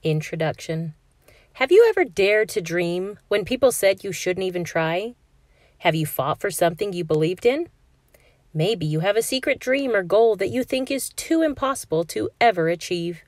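A woman's voice: medium pitch, moderate pace, no echo. Woman reading a picture book aloud. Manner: clear, steady storytelling for kids. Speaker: read-aloud narrator.

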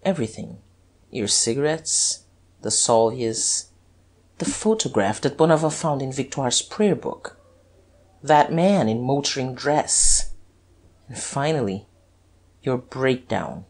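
A suspicious storybook detective, as performed by a narrator, a man with a husky voice. He speaks haltingly and mutters, shaken yet stubborn. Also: medium pitch, sing-song. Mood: accusing.

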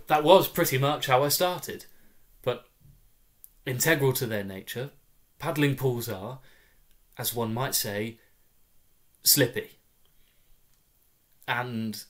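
A man in his twenties performing a comic first-person story in a wry, deadpan way with clipped phrasing. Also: mid-range voice. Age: 20s. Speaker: story reader. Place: small room at home, indoors.

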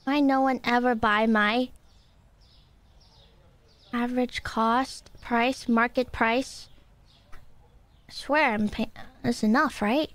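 A young woman streaming a game, chatting casually with her viewers, playful and easily exasperated. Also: high-pitched. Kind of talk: casual live-stream gameplay chat.

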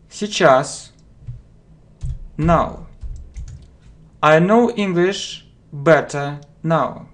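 A man teaching an English lesson, dictating each phrase slowly word by word and repeating it, at a desk in his home. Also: fairly high pitch. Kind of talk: slow dictation-style language lesson. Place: home room, indoors. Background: keyboard typing and clicks.

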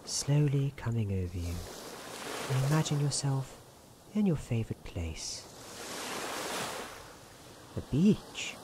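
Give this performer's take on a genial storybook narrator a soft, soothing ASMR delivery. The words come slowly, with deliberate pauses and a whimsical formality. The pitch moves expressively over faint background ambience. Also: noisy background. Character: genial, theatrical storybook narrator guiding someone to relax.

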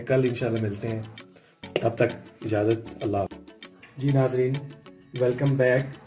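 A man with a Pakistani Urdu accent, hosting a home cooking tutorial and giving calm step-by-step instructions in a home kitchen. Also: mid-range voice. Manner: calm step-by-step instructions, often saying "theek hai". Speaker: cooking channel host. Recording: background music, oil sizzling. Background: music and frying sizzle.

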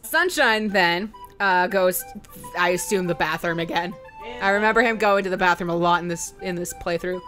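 A woman's voice: fairly low pitch, moderate pace, a bit bright, soft background music. A woman casually recapping a video game as host, chatty, joking and sweary, with playful asides. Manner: chatty, sweary, with playful mock voices for quotes. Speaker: let's play host.

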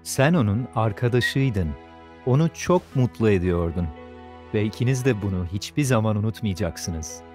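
A man voicing a tender, reassuring young father in a children's cartoon dub, soft and thoughtful as he comforts. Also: fairly low pitch, animated, normal speed, lightly acted. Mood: tender, consoling. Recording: studio dub over background music.

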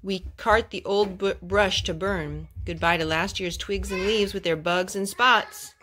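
A young woman reading a children's picture book aloud at home, phrase by phrase in a measured, careful way. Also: medium pitch, steady tone, fairly bright tone. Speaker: storytime host reading to her children. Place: carpeted room at home. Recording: clear background noise and clicks, homemade.

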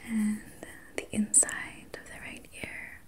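A woman whispering softly and breathily as an ASMR host, guiding relaxation in a soothing, gentle, unhurried manner. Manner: soothing, slow, gentle personal attention. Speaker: ASMR host and reiki practitioner.

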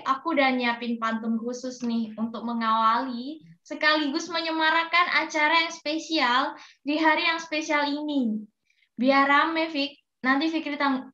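A woman's voice: sits high, measured pace, sharp tone. A young woman co-hosting as MC, cheerful and chatty, teasing her co-host over a video call. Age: young adult. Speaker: event MC. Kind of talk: casual playful MC banter. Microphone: video call webcam. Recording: echoey, dull video call audio.

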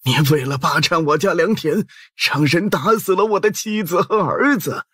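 A man voicing a defiant old peasant in an audiobook, fierce and impassioned, with a strained, husky voice. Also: sits high, normal speed, a bit bright, no room sound, clean studio sound, pitched above the performer's own voice. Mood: bitter fury. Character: aggrieved, defiant old peasant man accusing his persecutor. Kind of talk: audiobook character acting.

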